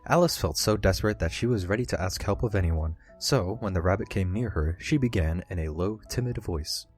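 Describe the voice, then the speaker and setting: A man's voice: sits low, lively intonation, brisk, soft background music. A man narrating a classic children's story from a script, as in an audiobook.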